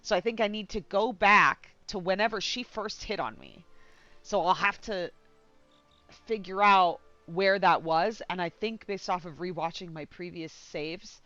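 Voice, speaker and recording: Bright-voiced woman rambling as she streams. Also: lowish voice, measured pace, dry recording, muffled recording, soft background music. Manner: informal, rambling, giggly. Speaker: game streamer. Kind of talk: casual live-stream commentary.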